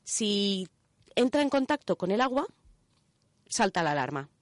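A woman with an accent from Spain chats in a dry studio sound at an average pitch. She explains in a casual, step-by-step way, tossing in little check-in questions as a radio contributor would. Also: very animated, measured pace, dry recording. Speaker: radio show contributor presenting a section.

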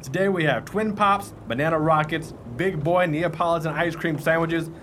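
A man's voice: on the high side, moderate pace, fairly bright tone, a little hiss or hum, close to an everyday voice. A man playing a pushy, cheerfully overconfident ice cream truck driver in a radio play, with folksy, brash salesman patter. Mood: boastful, gung-ho.

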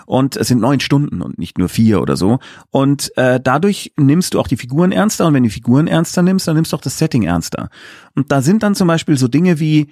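A man's voice: mid-range voice, big pitch swings, fairly quick, darkish tone, no echo, studio quality. A man speaking as a guest director in a casual interview, explaining things in chatty, enthusiastic detail. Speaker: guest, audio drama director.

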